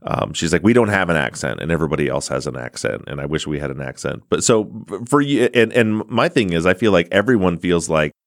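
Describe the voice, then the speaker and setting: A man's voice: sits low, animated, fairly quick, few pauses, no echo, clean studio sound. A middle-aged man with a Midwestern accent, hosting a casual podcast interview, chatty and self-deprecating. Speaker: podcast host.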